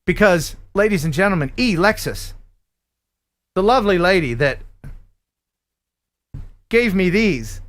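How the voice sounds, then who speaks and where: A man hosting a live stream in a casual, chatty way, reading out donations with bursts of excitement. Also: high-pitched, unhurried, long pauses, slight background noise. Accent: neutral radio American. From Philadelphia area. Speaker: livestream host.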